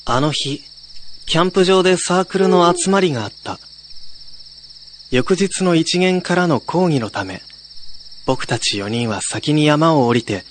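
A man's voice: mid-range voice, a little hiss or hum, close to an everyday voice. A man playing a young male college student who tells a ghost story in a radio drama. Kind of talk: radio drama narration with acted dialogue.

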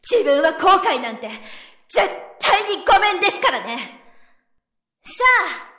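A woman playing an outspoken, sharp-tongued young female official in a radio drama, speaking bluntly and forcefully. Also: extremely high pitch, big pitch swings, a little slow, exaggerated voice. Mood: furious, defiant. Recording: roomy echo with faint music.

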